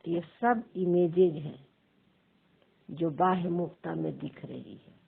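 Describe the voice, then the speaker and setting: Woman, guided meditation, extremely slow, creaky husky voice, short phrases with pauses, thin phone-like recording. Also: fairly low pitch. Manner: measured, pausing between short phrases. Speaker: meditation teacher.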